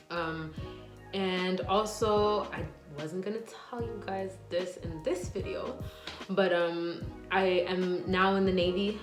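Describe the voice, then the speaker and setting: Chatty young woman in an echoey room. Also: fairly low pitch. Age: 20s. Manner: chatty, rambling, many "like" and "um" fillers. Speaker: nurse and vlogger. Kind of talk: casual Q&A vlog, reading viewer questions. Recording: echoey room with faint music.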